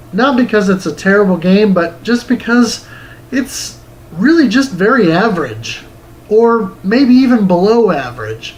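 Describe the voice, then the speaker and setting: High-voiced man chatting casually to viewers. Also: low-quality recording. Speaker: host of a retro video game review show. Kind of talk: casual unscripted sign-off to viewers.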